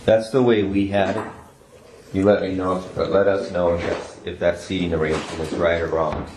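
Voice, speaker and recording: An American man working as a detective, briefing and questioning in a halting, low-key, casual way. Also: flat delivery. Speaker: police detective. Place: indoor interview room. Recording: echoey room recording, faint noise.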